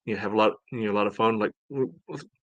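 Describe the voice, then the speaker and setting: A man's voice: lowish voice, rapid-fire, no room sound, muffled recording. A man co-hosting a sports podcast in informal, casual talk full of verbal padding. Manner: informal, peppered with "you know". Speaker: podcast co-host.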